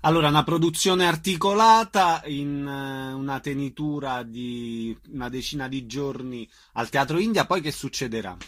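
A man's voice: medium pitch, moderate pace, hardly any pauses, a bit bright. A man hosting a radio interview, asking guests questions and giving announcements.

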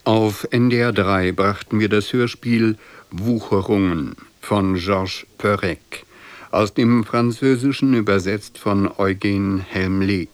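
Male radio announcer, formal scripted read, low register, unhurried, dry studio sound. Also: dry recording.

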